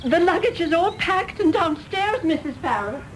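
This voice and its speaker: An actress playing a prim, reserved governess in a radio drama, formal and precise. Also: female voice, very high-pitched, lively intonation, normal speed, a little hiss or hum, low-quality recording. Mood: formal, restrained.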